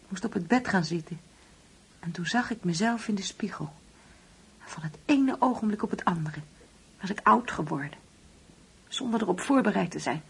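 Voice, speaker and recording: An actress playing a wistful, uneasy older mother in a Dutch radio play, speaking hesitantly and brooding, at times hushed. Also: mid-range voice, lively intonation, moderate pace, vocal fry, pauses often, slightly rough, full character voice, low-quality recording. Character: wistful, uneasy ageing mother grieving an absent son.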